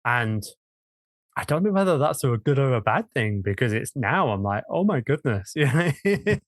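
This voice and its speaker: Male interviewee, mid-pitched, very expressive intonation, casual conversational delivery. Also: moderate pace, no room sound, clean studio sound.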